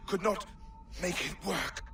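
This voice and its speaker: A man playing a haughty, excitable naval officer in a video game. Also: high voice, unhurried, gravelly, music in the background. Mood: alarmed, dismayed. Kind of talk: dramatic video game cutscene acting. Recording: noisy ambience behind dialogue.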